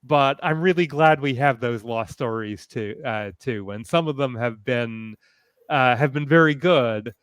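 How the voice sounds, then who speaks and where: A man speaking as a panelist in a fan discussion, hesitant and thoughtful, often halting as he phrases things. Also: medium pitch, smooth, dry recording.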